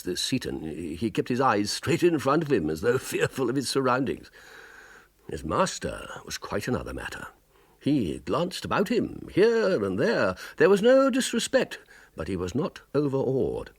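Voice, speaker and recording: An actor playing an ailing, imperious and dry-witted old medieval pope in a radio drama, halting and stately. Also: male voice, fairly low pitch, breathy edge, full character voice. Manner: halting, stately, wryly fastidious. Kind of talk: radio drama acting and first-person narration.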